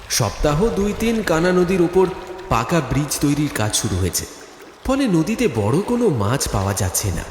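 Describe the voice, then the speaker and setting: A man's voice: medium pitch, a little mellow, slight background noise. A man narrating a horror cartoon story in a dramatic, scripted storytelling style. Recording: echoey studio reverb, music behind. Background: music.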